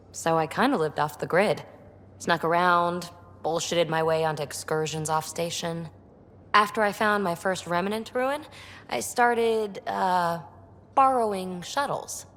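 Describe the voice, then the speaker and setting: A woman playing a breezy, irreverent and curious young alien researcher in a video game, speaking in an animated way. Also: lowish voice, long reverb tail. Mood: breezy, candid. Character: lively, cheeky, curious young female alien researcher and rogue explorer.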